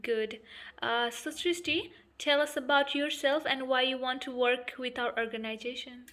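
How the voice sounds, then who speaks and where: A woman's voice: high-pitched, steady tone, moderate pace, fairly bright tone. A woman acting as interviewer, asking formal job interview questions politely and steadily.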